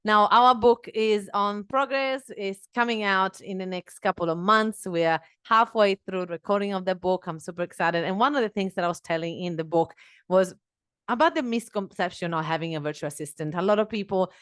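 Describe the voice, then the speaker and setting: A woman in her thirties hosting a solo podcast on a headset mic in a small home office. She speaks English as a second language, talking in an earnest, animated way with many fillers and restarts. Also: mid-range voice, a little fast, clear, resonant tone, no echo, studio quality. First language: not English. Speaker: podcast host.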